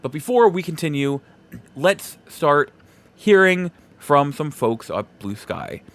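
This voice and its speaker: A man hosting a solo podcast, giving casual commentary in a halting, rambling way and repeating himself for emphasis. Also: a little high, big pitch swings, a bit bright. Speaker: podcast host.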